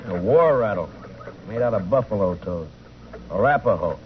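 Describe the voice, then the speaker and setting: Actor as deep-voiced frontier marshal, old radio. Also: male voice, moderate pace, lightly acted. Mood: grave, alert. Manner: terse, calm, authoritative. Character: steady, laconic frontier U.S. marshal, a grown man. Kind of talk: 1950s radio western acting. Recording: noisy, tinny old radio recording.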